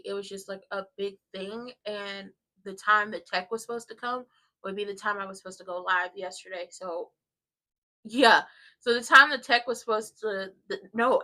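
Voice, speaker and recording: A congested woman chats loosely and rambles to her live-stream audience from home. Her voice is bright and forward, and her tone stays casual and conversational throughout. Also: medium pitch, steady tone, normal speed, rough recording. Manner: rambling and chatty, addresses viewers directly. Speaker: live-stream host of a soap watchalong.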